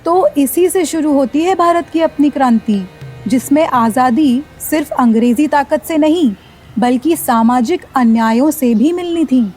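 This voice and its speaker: A curious young female student in a radio drama, reflective and full of admiration. She speaks slowly in a high, mellow voice. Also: faint music behind. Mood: admiring. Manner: inquisitive, reflective. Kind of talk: scripted educational radio play dialogue.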